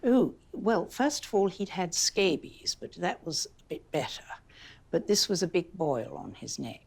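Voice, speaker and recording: Creaky low woman, lively. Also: a little slow. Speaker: interviewed historian. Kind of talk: conversational interview.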